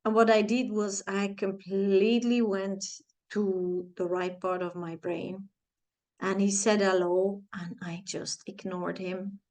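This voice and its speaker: A woman in her forties, a native Flemish speaker with a Flemish accent, telling a personal story to camera with halting, searching phrasing and playful sound effects. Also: mid-range voice, flat delivery, a little mellow. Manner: halting, searching for words, many restarts and fillers. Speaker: channel host, life coach.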